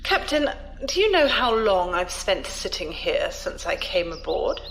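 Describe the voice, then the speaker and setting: An actress as a haughty old aristocratic woman in a space radio play, medium in pitch. She speaks with deliberate, scolding disdain over faint background noise. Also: sing-song, fairly bright tone, rough recording. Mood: haughty, displeased. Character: imperious, cutting old noblewoman, powerful galactic trade emissary. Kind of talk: audio drama acting.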